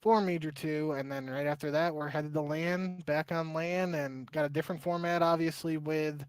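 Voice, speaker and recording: A man's voice: on the high side, fairly even intonation, normal speed, smooth, no echo. A man co-hosting a livestreamed podcast in casual, chatty talk, poking fun at his own predictions. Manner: chatty, self-deprecating about his picks. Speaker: podcast co-host.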